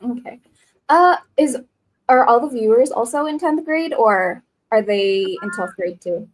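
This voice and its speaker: Casting: young woman guest, slightly high, relaxed and rambling with fillers. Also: a little slow, a little hiss or hum. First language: English. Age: 20s. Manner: chatty, rambling, with many fillers. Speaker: guest speaker, nursing student. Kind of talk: casual interview chat.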